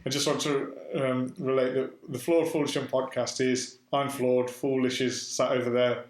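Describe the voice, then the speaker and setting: A man hosts a podcast interview, speaking in an even, level tone with little rise and fall. He rambles earnestly and often restarts his sentences. His voice rings in a roomy, echoey space. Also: medium pitch, moderate pace. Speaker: podcast host. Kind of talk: casual interview conversation. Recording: roomy echo.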